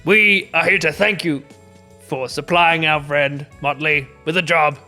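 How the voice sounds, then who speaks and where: Man as bright, courtly comic adventurer. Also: a little high, steady tone, a little slow, soft background music. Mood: gallant. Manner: formal, courtly, showy. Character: gallant, flattering comic adventurer bearing homemade gifts.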